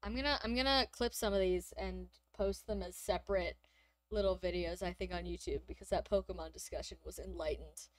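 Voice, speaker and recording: Hoarse woman streamer, giggly and rambling, dry mic. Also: on the low side, a little fast, no room sound. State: nearly lost voice, sore throat. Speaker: streamer co-host. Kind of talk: casual stream chat reviewing games.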